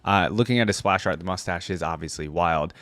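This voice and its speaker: A man's voice: low-pitched, fairly quick, fairly bright tone, dry recording. A man hosting a reaction video with casual, conversational commentary and emphatic stress. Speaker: reaction channel host. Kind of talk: casual reaction commentary with read-aloud passages.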